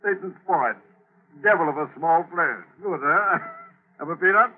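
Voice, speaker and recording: A man's voice: on the high side, a little slow. An actor playing a chatty, pushy travelling salesman, a jolly folksy pest who talks in clipped phrases and repeats himself, in 1940s radio style. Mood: gleeful. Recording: thin, phone-like 1940s radio recording.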